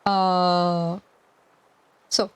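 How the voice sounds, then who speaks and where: A young woman in her twenties, soft-spoken and hesitant, chatting on a live stream while gaming, with a mic clipped to her collar. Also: on the low side, flat delivery, drawn-out words, clear, resonant tone. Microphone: lapel mic clipped to collar. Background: faint game music.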